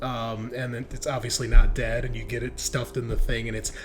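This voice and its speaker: A man's voice: medium pitch, flat delivery, fairly quick, loud music behind the voice. A man running a tabletop roleplaying game, improvising descriptive narration and calling out rules. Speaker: game master.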